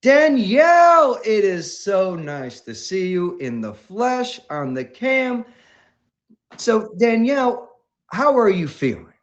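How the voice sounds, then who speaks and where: A man's voice: high-pitched, big pitch swings, slow. Male stream host, rambling and enthusiastic, voice swooping up and down, muffled audio. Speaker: livestream host. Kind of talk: livestream hosting.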